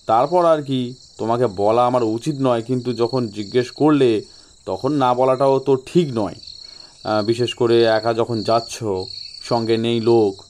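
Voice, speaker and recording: Guy as sly village gent telling spooky warnings, mellow, acting reluctant, audio story, faint crickets behind. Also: mid-range voice, fairly even intonation, measured pace, a little hiss or hum, lightly acted. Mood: ominous, confiding. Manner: ominous, feigned reluctance, conversational. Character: sly local gentleman spinning ominous ghost warnings.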